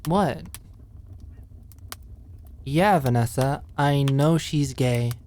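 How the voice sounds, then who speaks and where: A woman acting a feisty, protective popular young woman at camp in an ASMR roleplay, talking in a casual, halting, emphatic way. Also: very deep voice, mellow, slight background noise, heavily acted. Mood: defiant.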